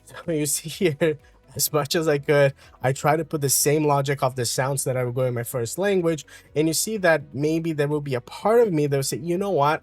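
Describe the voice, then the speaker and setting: A man from Brazil with a Brazilian Portuguese accent, calmly explaining an English lesson with frequent fillers. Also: mid-range voice, dry recording. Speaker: English teacher.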